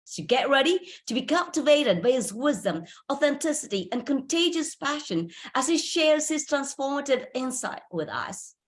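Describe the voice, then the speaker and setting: A woman's voice: sits high, very animated, normal speed, hardly any pauses. Woman reading a polished formal introduction. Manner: polished, emphatic, ceremonial. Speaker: meeting host introducing a speaker.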